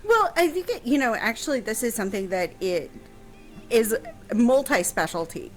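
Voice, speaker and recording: Higher-voiced woman explaining history, halting. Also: very animated, faint music behind. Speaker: historian guest answering questions on a radio show. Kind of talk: casual explanatory chat.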